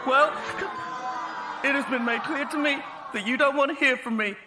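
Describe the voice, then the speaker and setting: A man plays a hapless comedy-night compere with a very high, plaintive voice. He projects over a clearly audible crowd and room noise. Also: normal speed. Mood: hurt. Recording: noisy club with clear crowd ambience.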